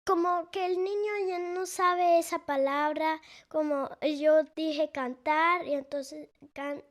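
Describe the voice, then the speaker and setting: A young girl of almost nine answers questions in a slow, halting way, filling gaps with little sounds while she hunts for words. Her voice sits high and light. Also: steady tone. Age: child, almost 9. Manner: hesitant, searching for words, many fillers. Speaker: interviewee, the host's daughter. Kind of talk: conversational interview.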